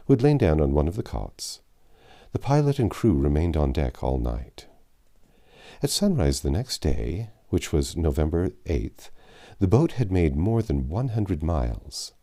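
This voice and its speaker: A man with a low, dark voice reads a classic adventure novel aloud. His delivery is expressive and measured, with lively intonation and dramatically stretched words. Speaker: audiobook narrator.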